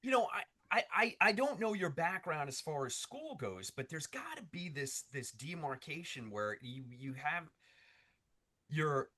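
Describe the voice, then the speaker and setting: A man's voice: fairly high pitch, lively intonation, measured pace, sharp tone. A man co-hosting an interview, asking questions in an eager, emphatic, casual way. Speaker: interviewer, co-host.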